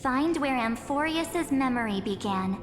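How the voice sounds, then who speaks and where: An actress playing a mysterious, composed young woman in a video game, speaking in a measured, softly teasing, theatrical way. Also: high-pitched, lively intonation. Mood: persuasive, coaxing. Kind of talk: scripted video game dialogue. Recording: reverberant game audio with music.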